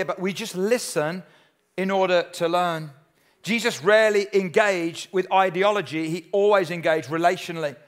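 A man preaching a sermon with a high-pitched voice. He is emphatic and drives his points home by repeating key lines. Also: fairly bright tone. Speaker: pastor.

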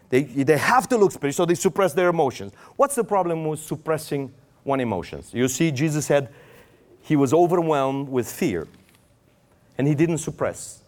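A man's voice: on the high side, a little mellow. A man preaching a sermon in English with a Romanian accent, his first language Romanian, acting out quoted prayers with raised voice.

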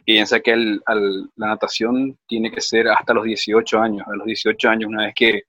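A man's voice: lowish voice, level tone, normal speed, pushed and bright, husky, no echo. A man who coaches swimming at a club, speaking hesitantly with many restarts in an online group discussion.

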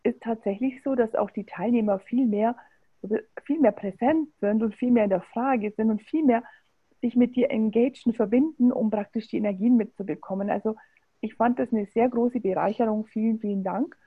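Husky woman interviewer on a phone-like line. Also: a little high, normal speed. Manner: warm and appreciative, with fillers. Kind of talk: casual interview talk.

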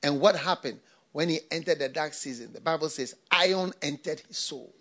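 A man's voice: fairly high pitch, normal speed, a bit bright. An older man from Ghana, a pastor, preaching a sermon with repeated phrases and rhetorical questions.

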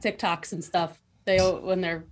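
A woman's voice: on the low side, steady tone, measured pace. Woman regular, blunt, teasing, casual. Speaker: show regular. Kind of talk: casual chat.

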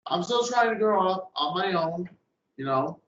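A man's voice: high voice, expressive, a bit bright, a little hiss or hum. Earnest young man in an echoey room. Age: young adult. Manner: earnest, halting, frequent "you know". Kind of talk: casual chat. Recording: echoey, dull lo-fi sound.

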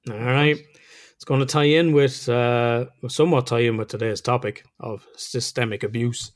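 A man's voice: medium pitch, steady tone, moderate pace. A man with an Irish accent, co-hosting a podcast in a casual, chatty way, laughing often and swearing now and then. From Ireland. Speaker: podcast co-host.

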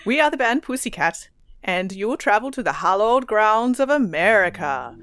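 A woman's voice: mid-range voice, sing-song, moderate pace, fairly bright tone, dry recording, studio quality, pitched above the performer's own voice. A woman voicing a cheery angel with a put-on Dutch accent, casual and offhand.

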